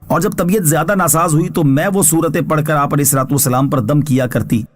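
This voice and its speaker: A male religious scholar with a somewhat high voice for a man. He reads scripture commentary from a prepared text. His delivery is formal, measured and instructive. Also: fairly even intonation. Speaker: Islamic scholar giving Quran translation and commentary.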